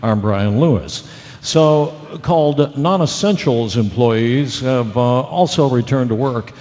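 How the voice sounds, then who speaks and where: An actor playing a bumbling, chatty local radio news anchor who reads bulletins in a halting, folksy way with rambling asides. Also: male voice, mid-range voice, darkish tone, long reverb tail, dull audio, natural voice. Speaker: radio news and weather reader.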